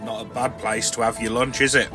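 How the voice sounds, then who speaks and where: A man's voice: mid-range voice, level tone, fairly quick. Male vlog host, casual and colloquial, easygoing narration with music underneath. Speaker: angling vlogger and host.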